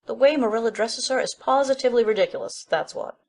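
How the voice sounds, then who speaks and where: A woman's voice: a little high, quick pace, darkish tone, lightly acted. A woman playing an opinionated, bossy middle-aged village busybody in an audiobook drama, chatty and self-assured. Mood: opinionated, indignant.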